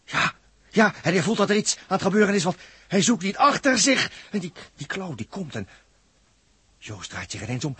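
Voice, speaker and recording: A man acting an excitable, wry spaceship crewman in a 1960s radio drama, husky, repeating himself in exclamations. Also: high voice, normal speed, dry recording, full character voice. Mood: alarmed.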